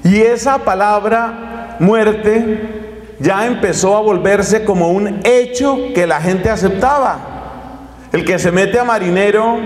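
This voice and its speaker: A middle-aged Colombian man preaching with a handheld microphone, animated and gesturing as he talks straight to his listeners in a hall. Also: high voice. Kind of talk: lively sermon talk to an audience. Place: conference hall with curtained stage. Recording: echoey hall reverb.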